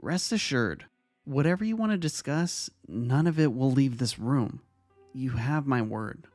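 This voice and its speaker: A man playing a kind high school vice-principal in an audio story, speaking with earnest, measured reassurance. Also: lively intonation, darkish tone, no room sound, soft background music, natural voice. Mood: gentle, reassuring. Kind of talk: dramatic fan fiction audio reading.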